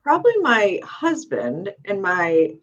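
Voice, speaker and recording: A middle-aged woman chats as a guest on a talk show, giving upbeat, practical advice. Her pitch sits in the ordinary middle, and she keeps checking in with a quick "right?" as she talks. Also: very animated, highs cut off. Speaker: real estate agent guest. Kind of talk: casual interview chat.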